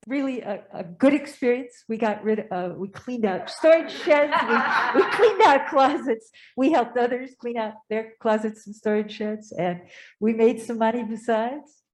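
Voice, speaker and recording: Woman, bright higher voice, chatty, cheerful, laughs through it. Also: a little slow. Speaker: congregant. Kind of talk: sharing a joy. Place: church sanctuary.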